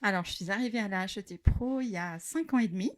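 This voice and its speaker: A woman, the school's academic secretary, talking in a measured, friendly way in a studio. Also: mid-range voice. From Belgium. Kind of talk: podcast guest chat.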